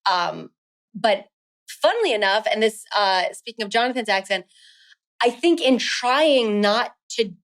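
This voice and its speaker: A woman from New Jersey, a guest on a podcast, talks at a middle pitch. She is chatty and animated, telling her stories freely. She is recorded close on a studio mic. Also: unhurried. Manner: animated storyteller, bright and chatty. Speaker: actress guest. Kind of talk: casual interview chat.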